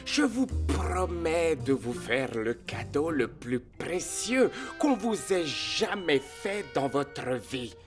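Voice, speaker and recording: A man voicing a sly, coaxing adventurer in a French cartoon dub, persuasive and measured, with clear music behind him. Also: a little high, big pitch swings. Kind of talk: French animated film dubbing.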